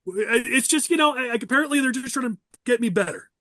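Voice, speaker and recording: A nasal man in his thirties hosts a casual podcast, with a high voice and a quick pace. He teases and rambles through lots of fillers, recorded close and dry. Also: expressive, bright, forceful tone, no room sound. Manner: teasing, fast and rambling. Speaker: podcast host. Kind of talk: casual political podcast chat.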